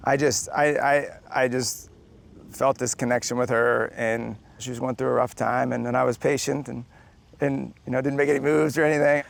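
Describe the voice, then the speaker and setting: A man in his forties speaking slowly and plainly in a casual interview, with halting restarts. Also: mid-range voice, little pitch movement, creaky, slight background noise. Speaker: interviewee, husband.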